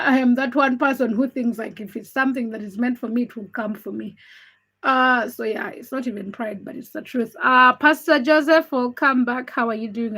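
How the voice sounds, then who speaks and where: A woman's voice: a little high, pushed and bright, breathy edge. A young woman from Uganda, not a native English speaker, hosting a casual livestream, chatting candidly and greeting viewers by name. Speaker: livestream host. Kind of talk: casual livestream chat reading comments.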